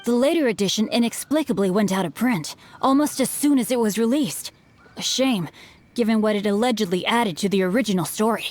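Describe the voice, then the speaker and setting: A woman's voice: medium pitch, a little fast, darkish tone, lightly acted. A woman voicing a courteous, witty young noble swordsman in a game. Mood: curious. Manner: courteous, poised.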